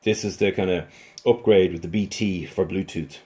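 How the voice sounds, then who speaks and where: A man talking through a casual, unscripted product review as a reviewer, in a hesitant, halting way with repeated words. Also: sits low, fairly even intonation, mellow.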